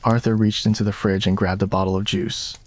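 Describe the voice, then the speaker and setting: A man with a fairly low voice narrates a romantic story in ASMR style. The delivery is scripted storytelling, read as a roleplay. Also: little pitch movement, fairly quick.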